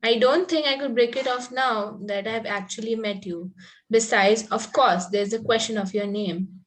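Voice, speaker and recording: A woman's voice: a little high, normal speed, close to an everyday voice. A woman reading the part of a fanciful, coy Victorian young lady in an amateur table read, prim and earnest, with occasional stumbles. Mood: dreamy.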